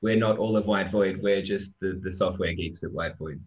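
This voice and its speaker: A man presenting his team's software work on a live-stream, relaxed and chatty with lots of ums. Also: low voice, normal speed, dull audio. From Australia.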